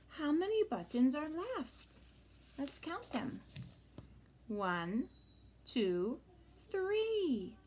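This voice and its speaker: A woman reading a picture book aloud to young children as a teacher, with sing-song, exaggerated expression. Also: very high-pitched.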